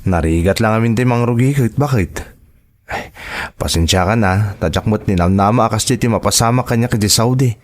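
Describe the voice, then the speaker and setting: A man's voice: on the low side, brisk, lightly acted. A man playing an anxious, apologetic husband and migrant worker in a radio drama, with an Ilocano accent and earnest, emotive delivery. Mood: downcast, earnest. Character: Robert, an anxious husband working overseas, apologetic.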